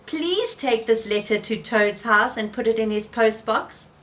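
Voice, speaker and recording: Storybook frog voiced by a woman, kind male friend, eager, bright, urgent, lively and raised. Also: a little high, unhurried.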